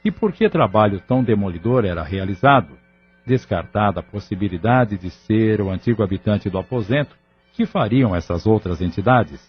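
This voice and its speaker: Casting: male narrator for audio drama, slightly rough low voice, scripted storytelling delivery. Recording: muffled lo-fi audio drama recording. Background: background music.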